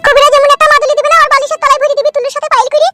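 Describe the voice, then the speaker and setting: Cartoon village aunt, older, bossy, preachy, rural West Bengal accent, artificially pitched up, breakneck delivery. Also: male voice, level tone, racing. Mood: chatty, confiding. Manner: chattering, preachy, earthy. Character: bossy older village aunt, nosy, frank, full of advice. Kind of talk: comic cartoon dialogue. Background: music.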